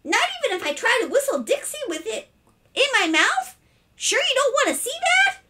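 A woman's voice: very high-pitched, huge pitch swings, unhurried, pitched above the performer's own voice, heavily acted. A woman voicing a cheerful, eager ten-year-old show-off boy in a children's storybook. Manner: bright, eager, pestering. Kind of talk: children's storybook read-aloud.

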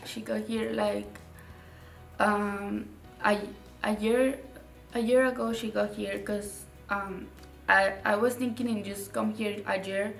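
A young woman from Mexico, a native Spanish speaker with a Mexican Spanish accent, answering interview questions in a halting way, repeating words and using many fillers. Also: mid-range voice, fairly even intonation, creaky, roomy sound, far from the mic, soft background music. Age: young adult. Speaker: graduating student. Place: indoor studio with dark curtain.

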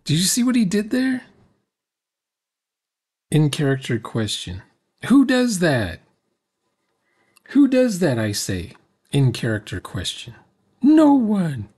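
A man's voice: high voice, wildly swooping pitch, slow, pauses often, darkish tone, husky. An older man giving casual reaction commentary, speaking emphatically and repeating phrases for effect. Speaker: commentator reacting to a recorded roleplay session.

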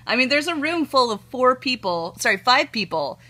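A woman's voice: high voice, big pitch swings, moderate pace, pushed and bright. A sarcastic, amused woman talking back mockingly in a casual phone conversation, recorded in a small room. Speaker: call recipient.

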